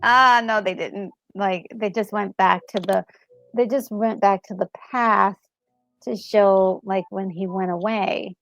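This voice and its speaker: A woman co-host giving casual reactions, halting as she searches for her words, on a slightly muffled recording. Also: medium pitch, steady tone, gravelly, fairly bright tone, no room sound, faint music behind. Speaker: gaming co-host.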